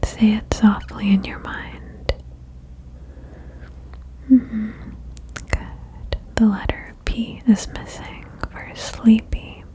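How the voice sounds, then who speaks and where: A woman whispering a guided ASMR meditation in a breathy, soothing way, with gentle instructions and long pauses. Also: medium pitch, rough recording. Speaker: ASMR host guiding a relaxation game.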